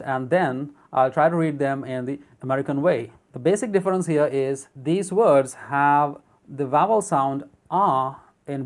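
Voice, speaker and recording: A teacher who learned English as a second language narrates a lesson at an even pitch. The delivery is steady and explanatory, pausing to read word lists aloud one item at a time. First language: not English. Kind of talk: narrated vocabulary and pronunciation lesson.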